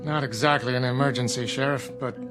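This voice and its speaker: A man portraying a concerned country doctor, measured and explanatory in mid-range tones. Loud orchestral music plays under him. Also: medium pitch, fairly even intonation, lightly acted.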